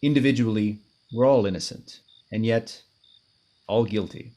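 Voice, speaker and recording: A man playing a grave, brooding and commanding crime fighter with a secret identity, earnest and measured in 1930s radio drama style. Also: on the low side, warm tone, lightly acted. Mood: brooding, solemn.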